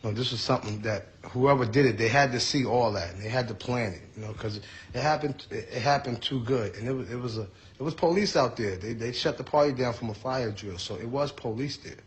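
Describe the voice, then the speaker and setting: A man's voice: medium pitch, fairly quick, hardly any pauses. A man giving an informal eyewitness account in an interview, colloquial and repeating his words as he goes. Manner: repetitive, colloquial.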